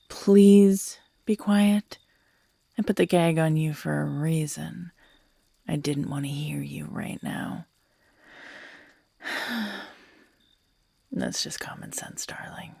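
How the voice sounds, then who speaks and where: A woman's voice: on the low side, creaky, darkish tone, breathy edge, no room sound, clean studio sound, exaggerated voice. A woman playing an obsessive, manipulative kidnapper in an audio roleplay, condescending and exasperated, with sighs and half-whispers. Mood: condescending, quietly menacing. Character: obsessive, manipulative female kidnapper scolding her captive lover. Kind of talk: scripted yandere horror audio roleplay, intimate monologue.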